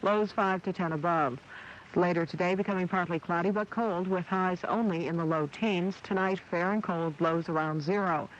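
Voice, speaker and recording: A man's voice: high voice, fairly even intonation, normal speed, a bit bright. A man reading scripted news and weather as a radio announcer, on an old AM recording. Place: radio studio. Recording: dull, faintly noisy AM radio recording.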